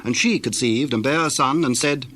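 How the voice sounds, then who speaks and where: A man giving measured, formal scripted narration for a dramatized Bible reading. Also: mid-range voice, bright, forceful tone, slight background noise.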